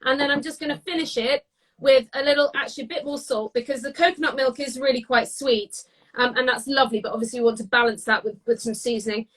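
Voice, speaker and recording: A British woman giving a casual live cooking demonstration, chatty and descriptive, often pausing mid-phrase as she explains. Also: sits high, fairly even intonation, fairly bright tone, low-quality recording. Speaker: guest cook demonstrating a recipe.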